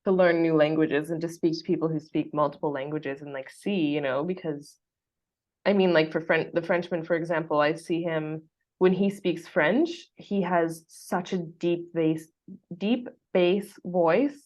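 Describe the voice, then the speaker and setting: A woman's voice: low voice, measured pace, darkish tone. A woman with a West Coast American accent hosting a podcast, chatting casually with lots of fillers and frequent laughter. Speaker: podcast host. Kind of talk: casual chat.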